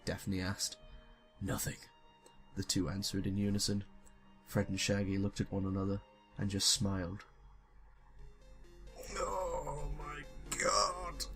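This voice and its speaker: A man's voice: low voice, completely flat delivery, normal speed, soft background music. A young man reading a story aloud and talking casually as a comic YouTuber. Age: young adult. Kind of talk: scripted story narration and casual comic commentary.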